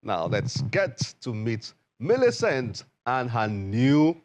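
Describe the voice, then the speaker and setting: A man's voice: mid-range voice, very animated, slow pace, background noise. A man presenting on television, introducing a guest with emphatic, playful build-up. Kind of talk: upbeat scripted presenter introduction.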